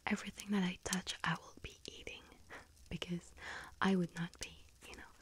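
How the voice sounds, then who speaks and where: A woman playing a sweet-toothed, friendly little witch who tends a bakery, in a whispered ASMR roleplay, speaking gently and savoringly in a breathy whisper with small hesitations. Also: lowish voice, little pitch movement, normal speed, natural voice. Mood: playful, confiding. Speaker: ASMR roleplay performer as bakery shop assistant.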